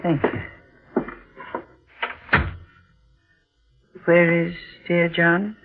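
An actor playing a sly, genteel elderly blackmailer in a 1940s radio mystery, with a mannered, mock-courteous way of talking. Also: male voice, a little high, flat delivery, measured pace. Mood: sly, needling. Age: older. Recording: muffled vintage radio broadcast, faint music.

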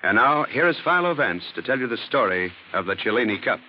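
A man working as a radio announcer, delivering scripted introductions and sponsor pitches in 1940s style. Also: very animated, brisk, soft background music. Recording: muffled, slightly noisy old radio recording.

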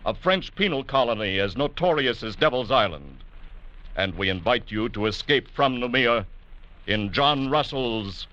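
A man's voice: medium pitch, lively intonation, unhurried, sharp tone. A man announcing an old radio drama in a formal, solemn and suspenseful scripted style. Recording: dull old radio recording, faint hiss.

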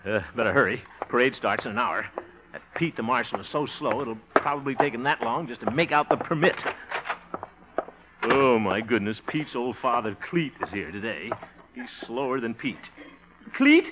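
A man's voice: mid-range voice, very animated, brisk, natural voice. Guy playing pompous flustered small town politician, voice swooping all over, old radio comedy, really hissy muffled recording. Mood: impatient, exasperated. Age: middle-aged. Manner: pompous, exasperated, dramatic. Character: blustery, easily flustered small-town mayoral candidate, middle-aged. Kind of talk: 1950s radio comedy acting.

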